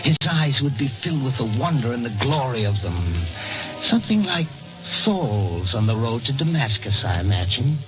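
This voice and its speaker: An actor playing a wry, sardonic brother who narrates a 1940s radio drama in a deliberate way. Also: male voice, medium pitch, sing-song, music in the background, lightly acted. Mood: wry, brooding. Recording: muffled old radio broadcast.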